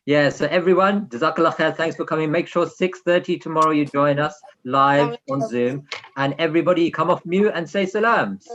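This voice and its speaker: A man's voice: a little high, a little fast, a bit bright, slight background noise. A man co-hosting a live online programme, talking casually over a video call. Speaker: co-host and teacher. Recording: slightly dull video call audio.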